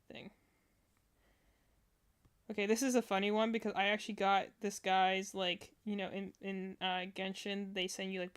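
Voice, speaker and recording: A woman's voice: mid-range voice, fairly bright tone, clean tone. A woman hosting a casual reaction livestream, thinking aloud in a rambling way. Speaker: streamer, reaction host.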